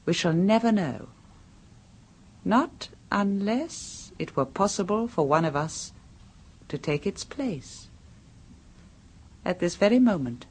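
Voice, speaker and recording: A languid, mysterious contessa. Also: female voice, mid-range voice, a little mellow, husky, heavily acted. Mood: brooding. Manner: languid, brooding, philosophical delivery. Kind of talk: 1970s radio drama acting. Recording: old radio broadcast.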